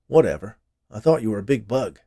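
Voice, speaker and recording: A man's voice: lowish voice, animated, brisk, gravelly, dark tone. A man playing an exasperated, defensive homeowner in a storybook tale, speaking bluntly and casually. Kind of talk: storybook character voice.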